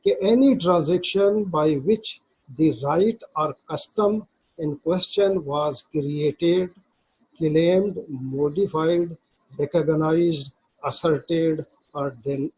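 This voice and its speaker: A man with a Pakistani accent giving a law lecture, halting and deliberate, reading formal text and then explaining it, repeating phrases as he goes. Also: a little high, darkish tone, slightly rough, low-quality recording. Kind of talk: lecture reading legal text aloud in English and explaining it in Urdu.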